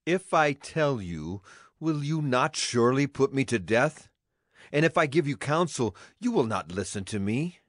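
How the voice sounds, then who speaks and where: An actor playing a grave, steadfast ancient prophet, speaking solemnly in a scripture drama. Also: male voice, mid-range voice, very animated, measured pace, no room sound, clean studio sound. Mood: wary.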